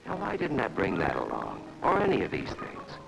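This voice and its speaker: A man's voice: sits low, huge pitch swings, moderate pace, gravelly, music in the background, full character voice. A man acting a wry, grumbling airman stranded in the arctic, talking to himself in a 1940s training film with a noisy, scratchy soundtrack.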